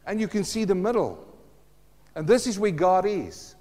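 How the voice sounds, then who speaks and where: Earnest pastor. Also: male voice, high voice, very animated, normal speed, a little mellow, long reverb tail. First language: Afrikaans. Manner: earnest, addresses listeners as friends, asks rhetorical questions. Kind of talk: sermon.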